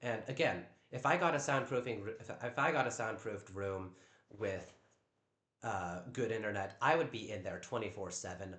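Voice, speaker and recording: A man with a naturally loud voice talking on a live stream at home, defensive and stammering over repeated words. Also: on the low side, moderate pace. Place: at home in a downstairs room. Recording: echoey room.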